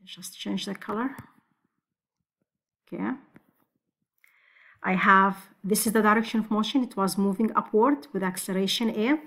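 A woman's voice: medium pitch, a bit bright. A woman teaching physics, talking step by step through exam problems over a screen recording, with frequent restarts. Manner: slow, step-by-step explaining with restarts.

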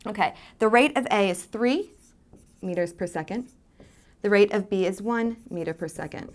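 A woman's voice: mid-range voice, normal speed. A woman in her thirties teaching chemistry at a whiteboard in a studio, explaining step by step with many fillers. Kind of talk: whiteboard lesson. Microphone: lapel mic.

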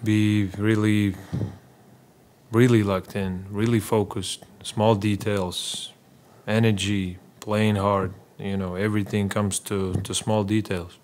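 Low, flat-voiced man at a press conference. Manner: halting, repeats words, many fillers. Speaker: basketball player at a postgame press conference. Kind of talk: postgame press conference answers. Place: small press room. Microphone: table mic in front.

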